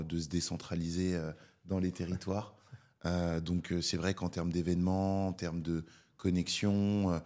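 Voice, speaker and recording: A young Parisian man in his twenties, a podcast guest, explaining his career in a measured way with many hesitations. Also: low-pitched, darkish tone. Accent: Parisian French. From France. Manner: measured, filler-heavy, explanatory. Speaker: podcast guest, public affairs director. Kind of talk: casual interview talk.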